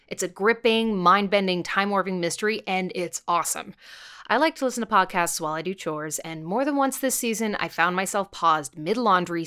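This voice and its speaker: A woman's voice: medium pitch, a bit bright. Playful, chatty woman podcast host. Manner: enthusiastic, informal, playful. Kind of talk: chatty scripted podcast introduction.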